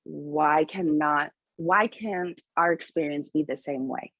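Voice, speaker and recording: A woman with a low, husky voice speaks slowly and deliberately. She sounds like a panelist giving candid, emphatic opinions, recorded close and dry. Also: steady tone, fairly bright tone, dry recording. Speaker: picture book author on a panel.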